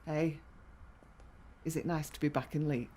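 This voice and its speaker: An actress playing a caring, sentimental middle-aged English daughter in a naturalistic audio drama, tender and conversational. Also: female voice, deep voice, steady tone, measured pace, warm tone, slight background noise.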